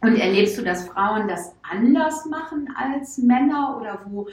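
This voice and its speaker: A woman's voice: on the high side, expressive, unhurried, darkish tone, echoey room. A woman hosting a podcast, asking questions in a friendly, encouraging manner. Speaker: podcast host. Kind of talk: podcast interview.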